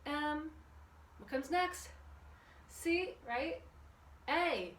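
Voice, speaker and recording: A woman's voice: sits high, expressive, very slow, a bit bright. Chatty woman teaching kids' fitness, echoey room. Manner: chatty, encouraging, asks the audience questions. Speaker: children's fitness class instructor. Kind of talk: instructional exercise class for kids, addressing viewers. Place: indoor room with windows open. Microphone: camera at a distance. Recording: echoey room, distant microphone, background noise.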